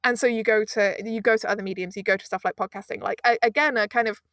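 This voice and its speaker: A woman's voice: mid-range voice, fairly even intonation, fast, fairly bright tone, no room sound, clean studio sound. A woman speaking as an interview guest, effusive and emphatic, often wandering off into asides as she talks. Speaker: guest, audio drama producer. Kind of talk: enthusiastic interview conversation.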